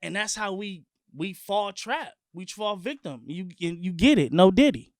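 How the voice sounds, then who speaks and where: A man from Long Beach, California, a guest music artist talking in an animated, profane, emphatic way in a casual sit-down interview. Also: high voice, measured pace, dry recording, studio quality.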